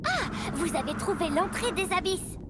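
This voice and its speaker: A woman's voice: very high voice, lively intonation, moderate pace, very noisy. A woman voicing a friendly, patient submarine guide in a children's cartoon, reassuring and explanatory.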